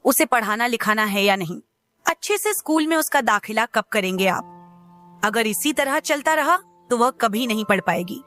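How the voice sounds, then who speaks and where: A woman's voice: fairly high pitch, expressive, moderate pace, a bit bright, faint music behind. A woman voicing a worried village wife and mother in a cartoon, insistent and reproachful. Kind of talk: Hindi cartoon story dialogue.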